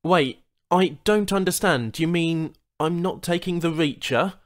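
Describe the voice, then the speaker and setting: A man's voice: a little high, unhurried, voice pushed up, close to an everyday voice. Human male spacefarer, read by a man, friendly, patient, tactful, plain-spoken, audiobook dialogue. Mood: confused.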